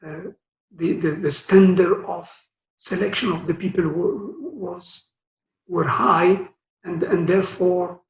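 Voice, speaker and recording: A man in his sixties from Morocco, a retired guest speaker telling his career story with many pauses and fillers. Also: sits high. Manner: hesitant, many fillers and restarts. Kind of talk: career talk. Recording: echoey room.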